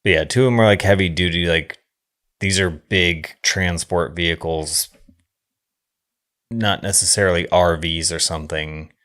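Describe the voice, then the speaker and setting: A man co-hosting a film podcast, talking casually in an informal, halting, thoughtful way. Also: low voice, fairly even intonation, a bit bright. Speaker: podcast co-host discussing films. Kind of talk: casual chat analysing a film.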